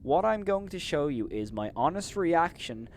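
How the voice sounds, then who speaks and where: A man hosting a commentary video, giving opinionated criticism, leaning on "of course" and "in my opinion" and turning loud and incredulous when he rants. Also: a little high, big pitch swings, moderate pace, a little hiss or hum. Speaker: host and reviewer.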